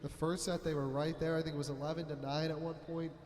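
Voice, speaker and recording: A young man giving loud, chatty, joking play-by-play commentary on a school volleyball match from an echoey gym. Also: a little high, level tone, fast, slight background noise. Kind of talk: amateur sports commentary. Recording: roomy echoing gym with long reverb.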